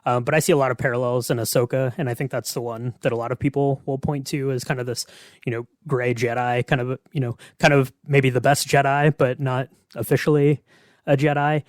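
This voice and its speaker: A male podcast host talks in a casual, conversational way. His pitch sits in the middle for a man, and the sound is dry with little room on it. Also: flat delivery, brisk, no room sound. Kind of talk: casual discussion.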